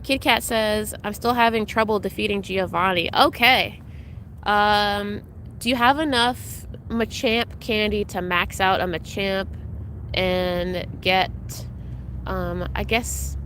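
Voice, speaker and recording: A woman's voice: mid-range voice, fairly bright tone, slight background noise. Chatty woman streamer, slightly congested. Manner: chatty, greets viewers by name, frequent ums. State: congested, recovering from illness. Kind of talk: casual live-stream chat.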